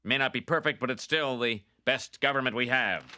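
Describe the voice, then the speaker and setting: A man's voice: mid-range voice, a little fast, pushed and bright. An actor playing a smug male TV reporter, speaking with sly, mock-earnest sincerity.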